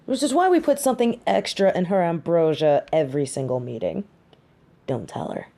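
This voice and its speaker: A woman's voice: fairly low pitch, animated, normal speed, vocal fry, warm tone. A woman acting a caring, brash and loyal young superheroine in a scripted audio roleplay, with a conversational, sassy delivery. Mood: irritated, sassy. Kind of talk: scripted one-sided audio roleplay drama.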